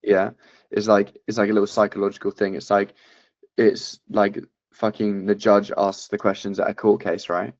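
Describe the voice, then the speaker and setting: A man's voice: lowish voice, little pitch movement, normal speed, no room sound, highs cut off. A man coaching a student on a sales call in casual, blunt talk, swearing often.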